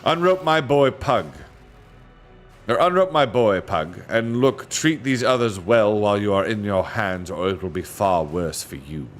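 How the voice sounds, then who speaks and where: A man's voice: fairly low pitch, lively intonation, a little slow, faint music behind. A man voicing a stern, commanding bearded nobleman.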